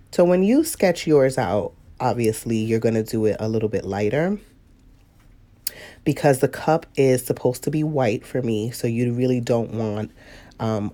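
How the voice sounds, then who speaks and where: A woman's voice: very deep voice, dark tone. Sniffly woman chatting through a painting lesson. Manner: chatty, laughs often, talks to viewers. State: stuffy nose, sniffly. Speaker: watercolor painting instructor. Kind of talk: casual live tutorial talk.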